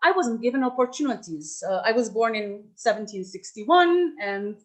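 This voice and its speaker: A woman's voice: a little high, animated, moderate pace. A middle-aged woman from Lebanon giving a reflective workshop talk, with frequent restarts and fillers as she thinks aloud. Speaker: workshop speaker.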